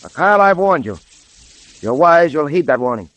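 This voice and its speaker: An actor playing a stern, commanding detective hero with firm, formal delivery in a 1930s radio drama. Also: male voice, on the high side, very animated, normal speed, a bit bright. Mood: stern warning. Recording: vintage 1930s radio recording.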